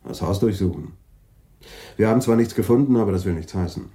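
An actor playing a matter-of-fact, businesslike senior police detective in a radio drama. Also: male voice, on the low side, fairly quick, mellow, close to an everyday voice.

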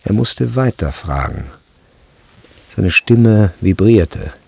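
A sober narrator of a tense boarding-school tale. His low voice is husky and creaky, and he reads in a grave, measured literary manner. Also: animated, darkish tone, full character voice. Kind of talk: scripted literary radio narration.

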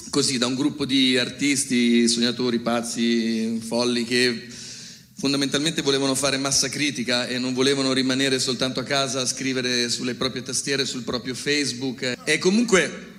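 A man's voice: medium pitch, fairly even intonation, normal speed, a bit bright. A man introducing a theatre collective's project in a spoken presentation. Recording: long hall reverb.

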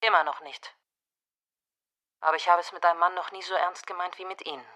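An actress playing a brisk, distrustful policewoman in a German radio drama, direct and probing. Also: on the low side, steady tone, sharp tone. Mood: wry, confiding.

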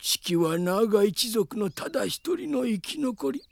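A man acting a quirky old dragon-kin in human form, with creaky voice and archaic, theatrical old-man speech in a Japanese radio drama. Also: sits high, sing-song, a little slow, a little mellow, no echo, full character voice. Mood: gruff, solemn. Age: older.

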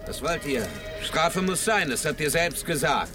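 An actor playing a tough, big frontiersman in his fifties in a German radio drama, measured and courteous yet menacing. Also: a little high, lively intonation. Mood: threatening. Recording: music bed behind dialogue.

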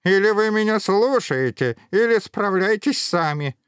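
Cranky eccentric old witch played by a man, high croaky voice, animated nagging, dry storybook recording. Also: a little slow, dry recording. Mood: bossy, scolding. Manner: grumpy, scolding, blunt.

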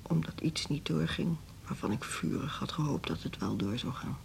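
Stiff, formal middle-aged school director. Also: female voice, sits low, animated, vocal fry, a little mellow, slight background noise, exaggerated voice. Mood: subdued, tearful. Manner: formal, measured, stern. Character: proud, stiff older female music school director, easily slighted. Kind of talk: radio drama dialogue.

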